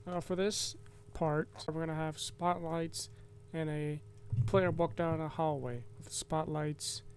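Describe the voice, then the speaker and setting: A man's voice: on the high side, steady tone, slow, a little hiss or hum. A man narrating a casual game devlog as its developer and host, explaining in a hesitant, rambling way.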